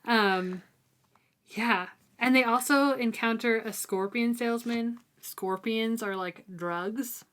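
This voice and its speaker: A woman's voice: fairly high pitch, slow, fairly bright tone. A woman hosting a podcast, talking through a book in an informal, thoughtful way. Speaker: podcast host. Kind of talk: casual book discussion.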